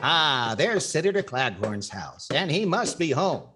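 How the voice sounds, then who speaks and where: A man's voice: on the high side, sing-song, unhurried, loud music behind the voice. An older man playing a wry, wisecracking comedy host in a 1940s radio style. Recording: clear background noise and clicks.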